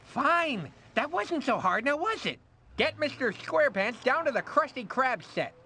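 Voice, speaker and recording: An actor voicing a pushy, fast-talking cartoon TV producer who gushes and schmoozes in a video game. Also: male voice, very high-pitched, sing-song, heavy background noise, full character voice. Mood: brisk, impatient. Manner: gushing, schmoozing, grovels to superiors. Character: excitable fast-talking showbiz TV producer, male, slick and pushy.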